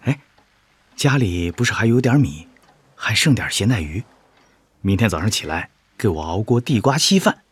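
A young fisherman husband in his twenties in a radio drama, weary but soothing and earnest. His husky, breathy voice swings up and down in pitch in a dry studio. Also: very animated, moderate pace, no room sound, exaggerated voice. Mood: coaxing. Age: 20s.

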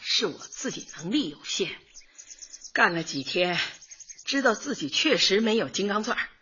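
Husky, grumbling middle-aged neighborhood woman. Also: medium pitch, moderate pace, full character voice. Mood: reluctant, discouraged. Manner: colloquial, expressive, grumbling. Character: plain-spoken middle-aged neighborhood woman, grumbling but good-hearted. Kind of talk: radio drama acting, light comedy. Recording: dull lo-fi audio, faint music.

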